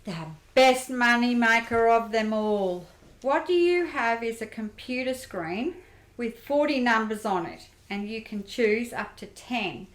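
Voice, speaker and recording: A woman's voice: a little high, measured pace, close to an everyday voice. A woman playing a candid, streetwise young female grifter in a radio play, telling things plainly. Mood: businesslike, keen. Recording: roomy, echoey amateur recording.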